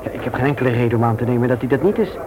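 An actor playing a mild, evasive man in a Dutch radio play, hesitant and measured in his speech. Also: medium pitch, natural voice. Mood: concerned. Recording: noisy old radio play recording.

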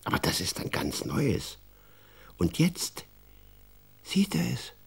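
A man playing an expressive storyteller in a children's radio drama, narrating in a deliberate, dramatic way. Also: medium pitch, creaky, breathy edge, exaggerated voice. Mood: hushed, suspenseful. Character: expressive male storyteller who also talks with the boy.

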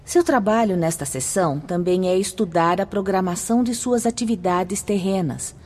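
An actress with a Brazilian accent playing a solemn, kindly spirit instructor in a radio drama, explaining things formally. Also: female voice, medium pitch, moderate pace, darkish tone, lightly acted. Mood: solemn, instructive. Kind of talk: radio drama acting, didactic.

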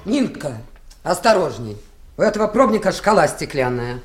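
A woman's voice: on the low side, very animated, moderate pace. Fussy bossy young woman, voice jumps around, giving orders, old radio drama. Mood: bossy, irritated. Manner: sharp, commanding. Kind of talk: 1980s Soviet radio play acting.

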